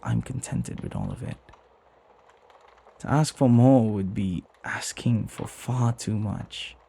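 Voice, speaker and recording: A man playing a devoted, flirty butler in an intimate ASMR roleplay, tender and hesitant, often sinking into a breathy whisper. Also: fairly low pitch, huge pitch swings, takes it slowly, dark tone, exaggerated voice. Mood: wistful, tender. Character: flirty lovestruck male butler, devoted and deferential.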